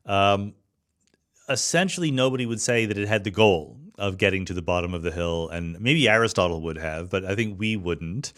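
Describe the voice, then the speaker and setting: A man's voice: sits low, moderate pace, a bit bright. A man hosting an interview podcast, musing aloud in an informal, wry way and posing questions. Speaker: podcast host.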